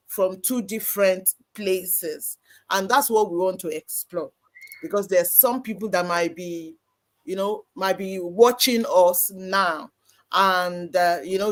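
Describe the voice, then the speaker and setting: Chatty church live-stream host. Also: medium pitch. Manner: conversational, explanatory, with frequent "you know". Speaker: host, moderator.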